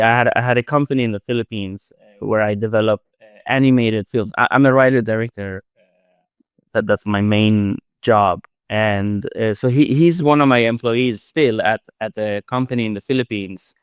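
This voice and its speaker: A man from Sweden, a creator answering questions in a casual live audio chat, halting and full of restarts. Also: on the low side, clear, resonant tone, no echo, clean studio sound. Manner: halting, many restarts and fillers. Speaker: art project creator, writer-director.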